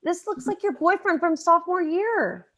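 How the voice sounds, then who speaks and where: A woman's voice: very high voice, lively intonation, normal speed, fairly bright tone. A woman playing an affectionate, teasing mother in a comedy table read. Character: affectionate, teasing working-class mom, loving family matriarch.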